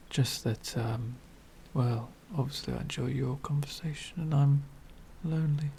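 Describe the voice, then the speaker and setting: Male actor as ancient gentleman vampire for ASMR roleplay: very slow, hushed and breathy, formal, lonely, over a noisy ambient bed. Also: medium pitch. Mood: lonely, tender. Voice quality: soft, breathy whispering. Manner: formal, wistful, slow and deliberate. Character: centuries-old elegant male vampire, lonely, courteous.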